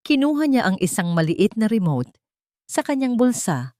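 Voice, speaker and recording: A woman's voice: fairly high pitch, big pitch swings, normal speed, darkish tone, no echo, studio quality. A woman reading a scripted story in the first person as a dramatic narrator.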